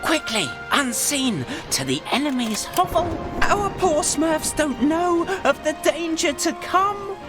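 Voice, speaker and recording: A woman's voice: sits high, very animated, slow, few pauses, exaggerated voice. A woman playing a playful storyteller, narrating a rhyming fairy tale theatrically for a video game. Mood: dramatic. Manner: theatrical, enunciated. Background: music.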